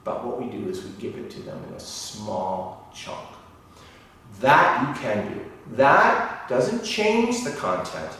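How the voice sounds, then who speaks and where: A middle-aged man from Ohio with a Midwestern American accent, a university lecturer explaining concepts in an animated teaching video. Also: mid-range voice. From Ohio, United States. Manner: animated, gesturing, explaining in plain terms. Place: indoor office room. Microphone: far camera. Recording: echoey room, distant mic.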